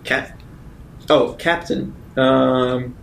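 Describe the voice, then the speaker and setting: A man's voice: medium pitch, flat delivery, very slow, vocal fry, a bit bright, echoey room, slight background noise, poor audio. A man casually speaking in character as a player in a tabletop role-playing game.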